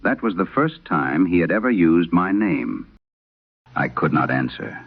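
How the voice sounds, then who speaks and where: A man with a very low voice narrates eerie tales as a late-night horror host. His delivery is solemn, measured and literary. The sound is thin and narrow like an old radio broadcast, with a very dry, close feel. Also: very animated, husky, dry recording. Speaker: horror audio drama host reading letters. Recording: band-limited, dry vintage radio sound.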